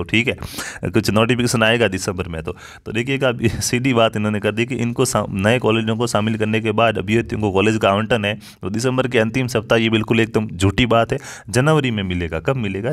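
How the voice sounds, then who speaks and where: A man informally explaining education news like a teacher, reading out and commenting, repeating points and addressing viewers directly. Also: on the low side.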